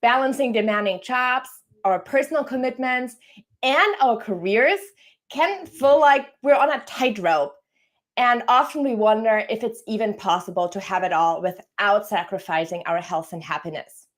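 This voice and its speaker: A woman from Germany hosts a live-streamed career talk. Her voice sits a little high, and she greets her guest and audience in an upbeat, welcoming way. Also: normal speed. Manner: upbeat, welcoming, scripted-sounding intro. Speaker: host, career coach. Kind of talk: live-stream interview hosting.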